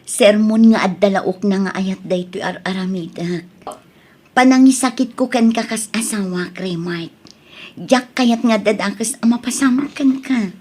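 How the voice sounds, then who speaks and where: A woman's voice: mid-range voice, a little slow, darkish tone, lightly acted. A woman with an Ilocano Filipino accent playing a stern, nagging wife in an audio drama, scolding and emphatic. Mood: reproachful, concerned. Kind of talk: radio-style audio drama acting.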